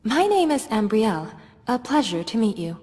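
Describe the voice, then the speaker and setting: A woman voicing a gentle young princess in a fantasy video game, with a polite, formal way of speaking. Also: on the high side, very animated, normal speed. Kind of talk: fantasy game character dialogue. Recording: long reverb on the voice.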